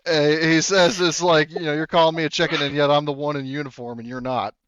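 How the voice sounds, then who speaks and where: A man's voice: on the high side, level tone, fast talker, fairly bright tone, clean tone, lo-fi sound. A man acting as game master, improvising narration and casual chat in an informal, sweary way as he relays what characters say. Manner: informal, profane, relays characters' replies in reported speech.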